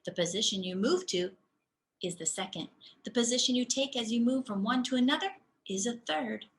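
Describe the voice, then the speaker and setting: A woman reading a storybook as a kindly older dance teacher, wise and passionate, speaking slowly and deliberately. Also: fairly high pitch, slight background noise, close to an everyday voice. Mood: patient, instructive.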